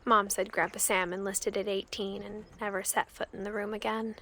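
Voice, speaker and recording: A woman's voice: medium pitch, level tone, moderate pace, bright, forceful tone, slight background noise. A woman playing a reflective young woman who narrates her family history in a video game, intimate and understated. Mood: wistful, reflective. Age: young adult. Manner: wistful, understated.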